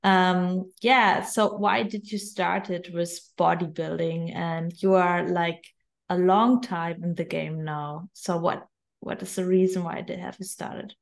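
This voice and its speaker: A woman's voice: fairly low pitch, fairly even intonation, measured pace, creaky. A woman hosting a podcast interview, speaking hesitantly and searching for her words. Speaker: podcast host.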